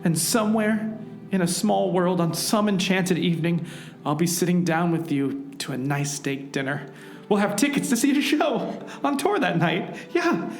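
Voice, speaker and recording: A man's voice: high voice, sing-song, moderate pace, creaky, exaggerated voice. An actor playing an earnest, melodramatic hero who narrates his own adventure in an overwrought, theatrical way in a parody radio drama. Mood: anguished. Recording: roomy echo, music underneath.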